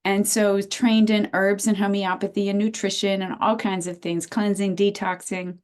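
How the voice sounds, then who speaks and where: An American woman in her sixties, a naturopath and teacher, chatting as an interview guest over a video call and telling her story with lively restarts. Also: mid-range voice, normal speed. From United States.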